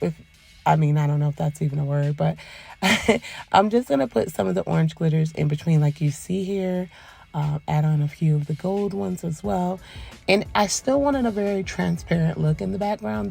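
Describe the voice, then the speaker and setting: A woman's low, mellow voice with a gravelly fry narrates a nail tutorial in a soft, polite tone she keeps for work calls. She talks in a relaxed, meandering way. Faint music plays beneath her voice. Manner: soft, quiet, polite phone-etiquette voice; relaxed, rambling with many ums. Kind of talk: soft-spoken voice-over tutorial. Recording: voice-over with faint background music.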